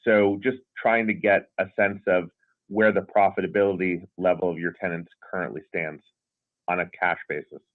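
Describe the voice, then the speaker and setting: A male analyst speaks on a conference call, posing questions in unscripted business talk. His voice sits on the low side. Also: steady tone, normal speed, narrow, tinny audio. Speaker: financial analyst asking questions.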